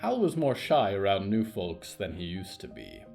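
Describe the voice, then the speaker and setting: A man's voice: low voice, huge pitch swings, moderate pace, vocal fry, darkish tone, soft background music. A man narrating a scripted, atmospheric story as its narrator.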